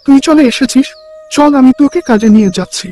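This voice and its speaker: A helpful young village pal from a cartoon, put on by a man. The voice is pitched very high for a male. He sounds friendly, eager and concerned, with soft music underneath. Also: animated, fairly quick. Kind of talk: Bengali cartoon story dialogue.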